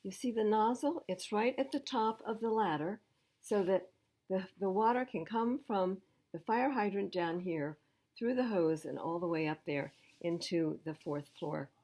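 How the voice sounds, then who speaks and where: A woman reading a picture book aloud to young children as a library storyteller, pausing to explain things simply. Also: mid-range voice, a little mellow.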